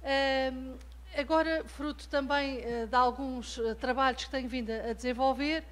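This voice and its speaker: High-voiced Portuguese woman lecturing. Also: steady tone. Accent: European Portuguese. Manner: measured, with frequent hesitant fillers. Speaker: guest lecturer. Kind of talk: academic keynote lecture. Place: lecture hall.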